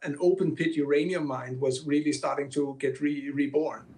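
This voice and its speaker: A filmmaker from Greenland answers interview questions in a fairly high voice. He talks casually, often stops to restart, and fills his pauses with ums. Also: fairly even intonation, warm tone. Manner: hesitant, many fillers and restarts. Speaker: filmmaker and film teacher, guest.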